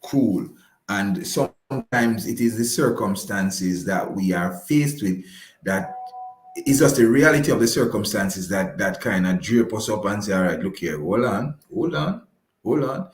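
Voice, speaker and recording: A man's voice: on the low side, expressive, measured pace, reverberant, faint music behind, low-quality recording. A man giving conversational advice as a radio guest, emphatic and repeating key phrases to reassure. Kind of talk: conversational advice on a live broadcast.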